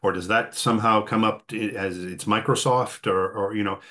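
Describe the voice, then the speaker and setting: Male interviewer, lowish voice, conversational, rambles through his questions as he thinks aloud. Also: steady tone, moderate pace, pushed and bright. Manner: rambling, thinking aloud, frequent throat-clearing. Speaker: host and interviewer.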